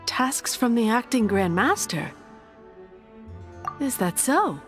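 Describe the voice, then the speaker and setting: A woman's voice: fairly high pitch, sing-song, slow, fairly bright tone, soft background music, full character voice. A woman playing a prim, devout and unyielding cathedral nun in a video game. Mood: formal, wary. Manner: polite, formal, firm. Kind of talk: video game character dialogue.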